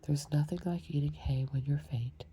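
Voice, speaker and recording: A woman's voice: deep voice, fairly even intonation, normal speed, dark tone, a little hiss or hum, lightly acted. A woman voicing a fussy, faint-hearted old king in a whispered ASMR storybook reading. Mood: fretful. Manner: whispered, fretful.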